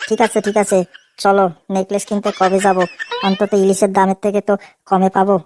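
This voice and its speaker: A quick-talking young Bengali boyfriend from a comedy cartoon, played by a woman, with a middling pitch. He placates in a cheeky, sly way. There is faint noise in the recording. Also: completely flat delivery, a little mellow, lightly acted. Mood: placating.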